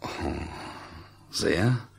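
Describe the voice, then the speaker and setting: An actor playing a rough, simple jungle hunter, proud but easily smitten, in a German radio play. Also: male voice, extremely slow.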